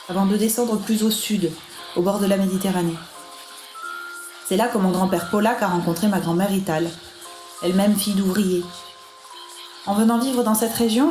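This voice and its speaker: Woman, mellow mid-pitched voice, scripted radio narration, roomy echo, music behind. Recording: echoey room sound.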